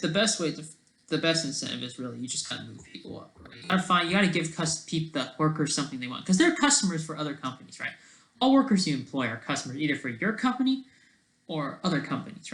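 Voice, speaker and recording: Man, slightly high pitch, lively swooping intonation, casual solo monologue, halting delivery, jumps between thoughts, questioning tags, roomy echo. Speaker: solo online video creator. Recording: echoey, roomy sound.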